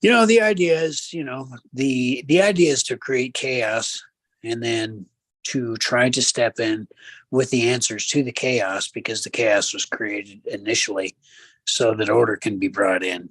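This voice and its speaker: A man co-hosting a casual talk show, giving measured commentary with preacherly asides to the listeners. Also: mid-range voice, fairly bright tone, dry recording, poor audio. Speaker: radio show co-host.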